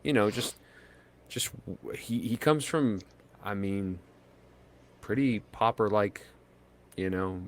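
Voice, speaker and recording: A man's voice: on the low side, takes it slowly, long pauses. A man co-hosting a podcast in casual chat, rambling and hesitant, with many fillers and restarts. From United States. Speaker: podcast co-host.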